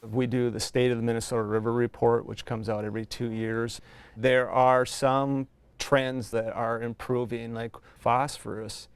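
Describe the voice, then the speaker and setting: A man, a university researcher, giving a steady, factual explanation in an interview. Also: mid-range voice, normal speed, clear, resonant tone, no echo.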